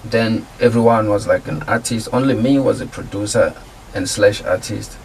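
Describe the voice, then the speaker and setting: A laid-back man with a lowish voice tells his story as a radio guest, easygoing and slangy. He sits a little back from the mic, and a light hiss runs underneath. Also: normal speed, gravelly, far from the mic, low-quality recording. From South Africa. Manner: relaxed, laid-back slang, laughs easily. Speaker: guest music producer and artist. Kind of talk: casual interview talk.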